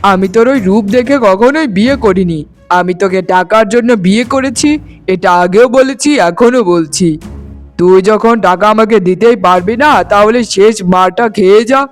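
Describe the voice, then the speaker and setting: A man performs a greedy, abusive young husband as a cartoon villain. His voice is high for a man, harsh and full of threats and contempt, with faint music behind. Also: normal speed, natural voice. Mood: threatening, angry. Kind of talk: melodramatic cartoon voice acting.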